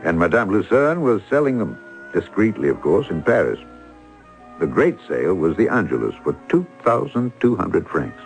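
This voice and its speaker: A smooth-talking schemer in an old-time radio play. His voice sits fairly low but moves widely, with a dry, wry storyteller's touch. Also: poor audio.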